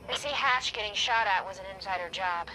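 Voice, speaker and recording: An actress playing a capable, decisive undercover operative in a cinematic video game. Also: female voice, medium pitch, fairly quick, a little hiss or hum, close to an everyday voice. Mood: brisk, conspiratorial. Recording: roomy, distant, under loud music.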